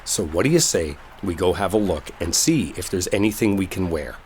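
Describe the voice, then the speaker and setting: A man's voice: low voice, lively intonation, brisk, a little mellow, a little hiss or hum. A man playing an exasperated, sarcastic, stubborn guy in a dramatic roleplay audio, talking impatiently with rain behind him. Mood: insistent, reassuring. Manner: impatient, sardonic, conversational, with mild swearing. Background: rain storm ambience.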